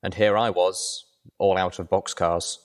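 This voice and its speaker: A man's voice: deep voice, little pitch movement, measured pace. A man reading scripted adventure fiction as the narrator.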